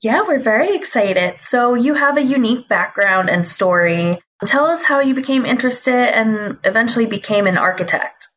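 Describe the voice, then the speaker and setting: A woman's voice: fairly high pitch, lively intonation, moderate pace, hardly any pauses, a bit bright. A young woman hosts a podcast, reading a scripted intro and then asking friendly, upbeat interview questions. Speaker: podcast host.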